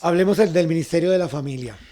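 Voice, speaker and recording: A man with a Costa Rican accent hosts a conversational interview show. His voice sits a little high for a man, with a very mellow, dark-edged tone. He often rounds off phrases with a short checking question. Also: fast talker. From Costa Rica. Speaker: talk show host.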